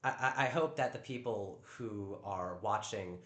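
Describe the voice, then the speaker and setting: A man with a naturally loud voice talking on a live stream at home, defensive and stammering over repeated words. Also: lowish voice, a little slow. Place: at home in a downstairs room. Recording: echoey room.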